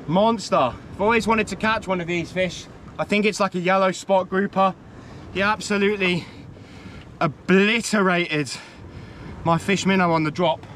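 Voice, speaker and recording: A man excitedly commenting on his own catch while fishing from a shoreline, exclaiming and swearing as he goes. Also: high voice. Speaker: angler filming himself. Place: rocky seashore outdoors. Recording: wind and waves at a shoreline. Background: wind and sea ambience.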